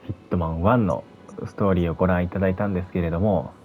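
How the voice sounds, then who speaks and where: Fast-talking man with dull audio. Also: low-pitched, steady tone, slight background noise. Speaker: video channel host. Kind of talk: casual commentary to viewers.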